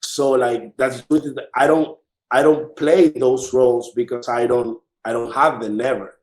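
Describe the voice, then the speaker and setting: Dominican-accented man, echoey, halting casual talk. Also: mid-range voice, fairly even intonation. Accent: Dominican Spanish. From Dominican Republic. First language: Spanish. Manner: halting, repeats words and phrases as he searches for them. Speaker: artist, interview guest. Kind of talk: casual conversation.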